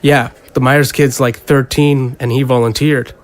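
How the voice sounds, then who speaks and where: Cocky young soldier, medium-pitched male actor. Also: flat delivery, unhurried, natural voice. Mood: matter-of-fact, teasing. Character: cocky, proud young soldier showing a recruit around. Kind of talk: conversational audio drama acting.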